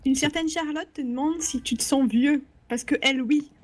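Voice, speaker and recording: A woman's voice: high-pitched, moderate pace. A woman reading viewer questions aloud to a streamer in a playful way, a little away from the microphone.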